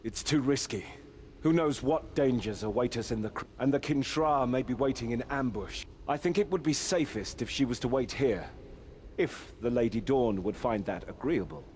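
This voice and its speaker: A man's voice: mid-range voice, moderate pace, darkish tone, slight background noise, natural voice. A man playing a proud, pious, chivalrous knight, speaking in a formal, courtly way in fantasy game dialogue. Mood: courteous, cautious. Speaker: game character. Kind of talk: voice-acted fantasy quest dialogue.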